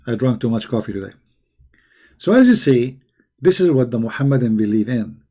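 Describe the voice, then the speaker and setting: A hoarse man who is losing his voice, giving a sarcastic, scornful commentary. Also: mid-range voice, expressive, fairly quick, warm tone. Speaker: religious critic. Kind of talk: mocking video commentary.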